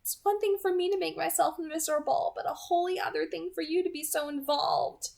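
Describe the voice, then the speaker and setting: Woman voicing an anguished young female vampire, high-pitched. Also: steady tone, a little fast, gravelly, a little mellow. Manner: intense, urgent warnings.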